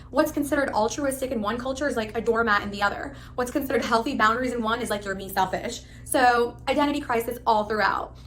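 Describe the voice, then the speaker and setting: A woman in her thirties, originally from Pakistan, giving a spontaneous, rambling, animated self-introduction. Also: on the high side, slight background noise. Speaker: live stream host applicant.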